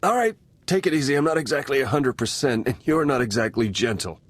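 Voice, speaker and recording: An actor playing a wry, battered spy in a video game, with dry, clipped, natural delivery. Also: male voice, medium pitch, animated, fairly quick, breathy edge, no echo. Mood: weary relief. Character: wry, battered male spy, sardonic but dependable.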